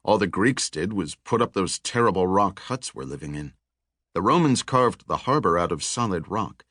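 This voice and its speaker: A man's voice: low-pitched, expressive, normal speed, fairly bright tone, no room sound, clean studio sound. A man voicing a restless, learned male researcher in an audiobook, brooding and wry, with a lecturing manner.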